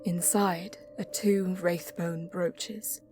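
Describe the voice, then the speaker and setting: A woman playing a reverent, guarded elven bonesinger who narrates an audio drama in a measured, hushed, solemn way. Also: on the low side, steady tone, music in the background. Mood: grave, uneasy. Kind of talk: scripted dramatic first-person narration.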